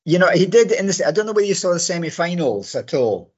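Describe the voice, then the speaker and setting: A middle-aged or older man with a slightly hoarse voice, co-hosting a podcast with casual sports analysis. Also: high voice, very animated, fast talker, darkish tone, muffled recording. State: a bit hoarse. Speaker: podcast co-host. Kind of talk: casual sports commentary chat.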